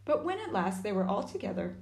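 A woman with a slightly gruff, growly voice reading a chapter book aloud, pausing to chat with young listeners. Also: medium pitch, big pitch swings. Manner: warm asides to young listeners. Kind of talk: storybook reading with chatty asides. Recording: echoey room.

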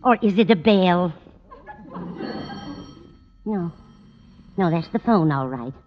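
Scatterbrained, animated older American landlady. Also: fairly low pitch, slow pace, faint music behind. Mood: dithering. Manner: dithering, scatterbrained, fussy. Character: absent-minded, fussing, chatty older landlady. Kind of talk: 1940s radio sitcom acting. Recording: noisy, dull old radio recording.

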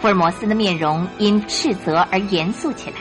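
A woman's voice: medium pitch, a little fast, music in the background. A woman narrating a Chinese radio drama in a scripted, dramatic storytelling style.

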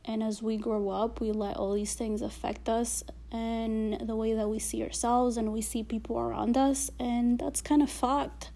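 A woman's voice: fairly high pitch, flat delivery, unhurried, gravelly, hardly any pauses, warm tone. A woman with an accent, talking casually and reflectively to listeners, full of fillers and frequent swearing. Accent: non-native accent.

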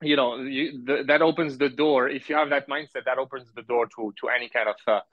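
A man who grew up in France, a podcast guest telling his story casually in a halting, rambling way full of asides. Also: mid-range voice, steady tone, no room sound. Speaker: podcast guest, business owner. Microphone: remote call. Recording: dull lo-fi audio, close and dry.

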